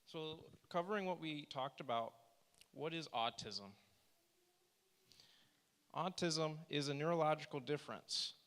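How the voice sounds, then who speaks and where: A soft-spoken man leads a church workshop, his voice bright and crisply resonant. He leans into each quoted line with earnest weight. The sound carries the long reverb of a church hall. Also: a little high, expressive, unhurried, long pauses. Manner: earnest, reads slide quotes aloud with emphasis. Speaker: therapist presenting a session.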